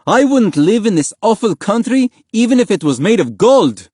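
A man's voice: high voice, very animated, normal speed, a little mellow, breathy edge, no echo, clean studio sound, voice pushed up, heavily acted. A man voicing a proud, indignant refugee father in an audiobook story. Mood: outraged.

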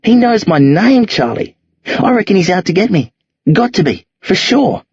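A man voicing a tough, streetwise teenage boy who talks low and rough in slang on a dull, lo-fi recording. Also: very animated, normal speed, no room sound, exaggerated voice. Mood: uneasy. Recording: dull lo-fi audio.

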